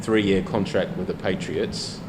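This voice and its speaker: A man's voice: deep voice, fairly even intonation, measured pace, noisy background. An Australian man in a casual discussion, rambling and hesitant, often restarting his sentences. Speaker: podcast co-host. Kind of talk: casual pub-style discussion.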